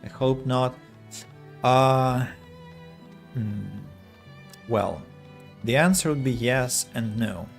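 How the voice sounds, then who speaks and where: A young adult man from Russia with a Russian accent, streaming a strategy game and chatting with viewers, choosing his words slowly and carefully with frequent restarts. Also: mid-range voice, animated, gravelly, faint music behind. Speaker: streamer and English teacher. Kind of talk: casual gameplay streaming chat.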